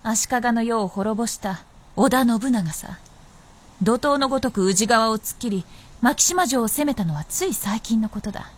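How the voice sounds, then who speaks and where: An actress playing a playful, confident, centuries-old fox princess in a radio drama, with teasing, old-fashioned haughty speech. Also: female voice, on the high side, animated, normal speed. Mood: playful, boasting. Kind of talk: Japanese radio drama acting.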